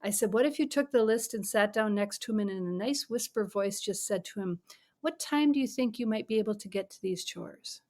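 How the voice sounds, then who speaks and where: A middle-aged woman, a parent coach, speaking as a podcast guest in an earnest, advising way, sometimes dropping to a soft whisper to demonstrate. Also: mid-range voice, fairly quick.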